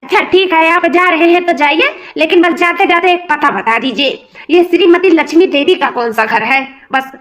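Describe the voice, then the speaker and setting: Super high polite cartoon delivery guy, someone putting it on, flustered, kinda pleading. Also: moderate pace, a bit bright. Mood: insistent. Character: polite, flustered male letter-delivering stranger. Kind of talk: comedic cartoon dialogue.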